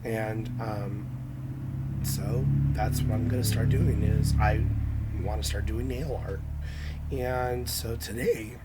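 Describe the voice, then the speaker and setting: A hoarse young man with a raspy, scratchy voice, talking through a casual nail-art how-to with lots of ums and restarts. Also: fairly low pitch, fairly even intonation, slow. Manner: hesitant, many ums and restarts. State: hoarse from illness.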